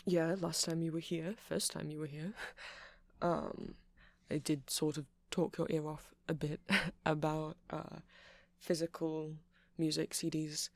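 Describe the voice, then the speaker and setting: A man performs a shy, nerdy male vampire who works in a music shop. He rambles slowly in a gentle, creaky voice, full of hesitant apologies. It is an intimate ASMR roleplay, recorded close and very dry. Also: fairly high pitch, no room sound. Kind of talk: ASMR roleplay, soft casual monologue to listener.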